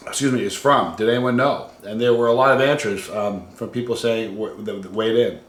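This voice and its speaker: A man casually hosting a livestream and reading out viewer comments, halting and conversational, trailing off quietly at the ends of phrases. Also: on the low side. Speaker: host of a hot sauce challenge channel. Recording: roomy, echoey room sound.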